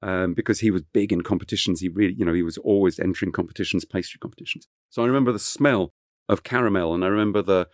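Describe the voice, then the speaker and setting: A man's voice: deep voice, rapid-fire, dry recording, clean studio sound. A middle-aged man from Kent whose first language is French, telling long stories as an interview guest with many fillers and restarts. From England (Kent). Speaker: chef guest. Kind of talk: relaxed interview storytelling.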